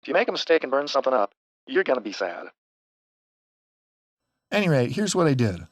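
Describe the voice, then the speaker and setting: Man, mid-pitched voice, casual how-to narration, plain-spoken, slightly wry, close dry sound. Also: very animated, brisk, dry recording. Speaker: DIY tutorial presenter.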